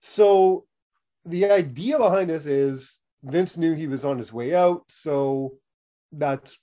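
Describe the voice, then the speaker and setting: A man in his thirties co-hosting a casual podcast while sick, his voice starting to go. He talks in a fairly high register for a man, rambling through plenty of fillers and restarts. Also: slow, mellow. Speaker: podcast co-host. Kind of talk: casual wrestling podcast chat.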